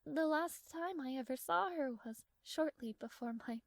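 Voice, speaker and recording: Woman acting as a high-voiced, haughty young princess. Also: normal speed, creaky, dry recording, clean studio sound. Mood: composed, matter-of-fact. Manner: haughty, formal, flustered hesitations. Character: proud, strong-willed tsundere young princess, guarded but fond. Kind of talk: scripted romantic audio roleplay addressed to the listener.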